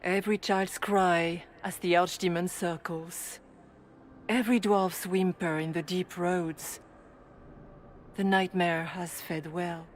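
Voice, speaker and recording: A woman playing a serene spirit in the guise of a holy leader, speaking in a measured, formal way for a video game. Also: fairly low pitch, level tone, slight background noise. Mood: solemn, calm.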